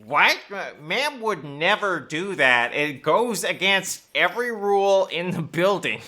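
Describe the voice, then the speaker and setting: An anxious, rule-abiding office aide acted by a man talks in an earnest, hesitant way. The voice is bright and tense and takes its time, as in a comic sci-fi audio play. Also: sits high, expressive, unhurried, full character voice. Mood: flustered, earnest. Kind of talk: audio comedy sci-fi drama.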